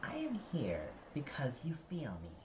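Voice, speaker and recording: A man's voice: medium pitch, huge pitch swings. A man voicing Grief, a relentless, witch-like old woman with a blunt, insistent way of speaking, in an audio play. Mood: quiet, insistent. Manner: blunt, drawn-out, insistent delivery. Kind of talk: audio play character acting. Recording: echoey, with noise behind.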